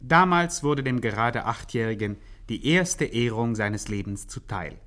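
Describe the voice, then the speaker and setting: A man playing an earnest, ceremonious biographer who narrates a German radio satire deadpan in 1950s style. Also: mid-range voice, unhurried. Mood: mock-solemn. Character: earnest mock-solemn biographer of a famous gangster.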